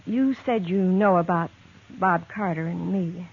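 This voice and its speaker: A woman's voice: fairly low pitch, measured pace, creaky, breathy edge, full character voice. An earnest young frontier woman, tinny radio. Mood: explaining, sincere. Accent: American. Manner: earnest, quietly determined. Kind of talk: 1950s Western radio drama acting. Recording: tinny, narrow-band 1950s radio recording.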